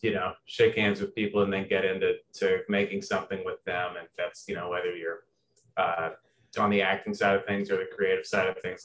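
Young man from Indiana, low voice, halting guest, many restarts, faint room hum behind. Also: fairly bright tone. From Southern Indiana. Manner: hesitant, many restarts and fillers. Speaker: guest composer. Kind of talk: casual interview.

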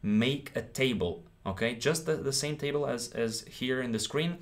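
A man's voice: mid-range voice, normal speed. Ukrainian guy teaching english on a live stream, keeps asking okay? and repeating stuff, webcam in a small room. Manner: encouraging, repeats himself, checks in with "okay?".

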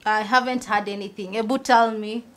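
A woman's voice: mid-range voice, fairly even intonation, fairly quick, a bit bright, natural voice. A woman playing a curious, concerned young student in a drama. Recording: clearly noisy background with clicks.

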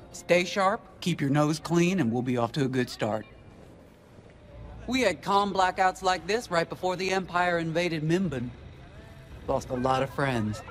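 A man playing a no-nonsense veteran alien squadron leader in a video game, brisk and firm. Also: on the high side. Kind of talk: video game character dialogue. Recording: music behind the voice.

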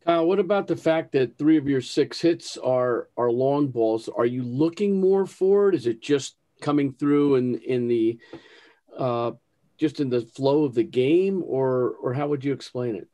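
A male reporter with a mellow, warm tone asks a halting question, restarting his phrases, sounding distant from the mic. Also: medium pitch. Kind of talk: asking a question at a press conference.

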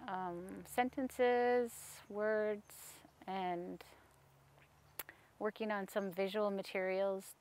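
Soft-spoken woman losing her voice. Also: medium pitch. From Canada. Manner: soft-spoken, hesitant with frequent ums. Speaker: staff member interviewee. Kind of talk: casual interview answers. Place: outdoors in a sunny park.

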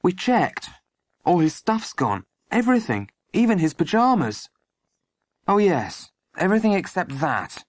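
A cheeky young schoolboy played by an audiobook narrator, pitched up high and full of bounce. He blurts things out boldly and eagerly in this storybook reading. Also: big pitch swings, a little slow, no echo. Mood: cocky. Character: cheeky, excitable young schoolboy who interrupts.

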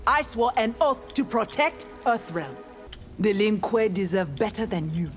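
A woman's voice: medium pitch, lively intonation, a little slow, close to an everyday voice. A woman playing a defiant, honorable female ninja warrior in a video game, speaking firmly and earnestly. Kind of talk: scripted video game dialogue. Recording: music behind the voice.

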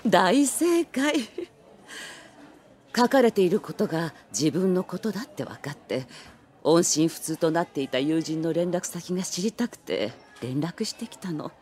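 A woman's voice: fairly low pitch, expressive, a little mellow. An elderly lady novelist in an anime audio drama, genteel and playful. Her intonation swings widely as she teases and draws out what she reveals. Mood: confiding. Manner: genteel, teasing, deliberately revealing.

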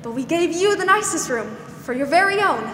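A young woman acting a gentle, weary, protective older aunt in a 1940s-style radio drama, soothing and hesitant. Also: extremely high pitch, lively intonation, normal speed. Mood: kindly, patient. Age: young adult. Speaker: student actor. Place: school theatre stage. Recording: echoey hall, noisy background.

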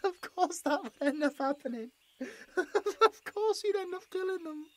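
A woman playing an anguished, guilt-ridden young British male adventurer in an audio roleplay, halting and emotional. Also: very high voice, lively intonation. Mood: shaken, bitter. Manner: halting, emotional, breaking into bitter laughs.

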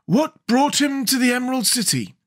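A male reader puts on a fussy scarecrow king for a storybook, pitched very high and swinging widely up and down. He is stately, wordy and admonishing, a pompous ruler who is easily bewildered. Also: big pitch swings, normal speed, a bit bright, full character voice. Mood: courteous, curious. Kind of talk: storybook character voice.

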